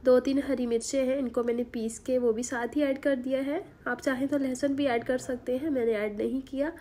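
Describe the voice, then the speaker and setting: A woman, hoarse from a sore throat, giving a chatty home cooking voice-over with many fillers. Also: high voice, fairly even intonation, normal speed, warm tone. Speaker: cooking channel host. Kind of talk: recipe voice-over. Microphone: voice-over recorded separately.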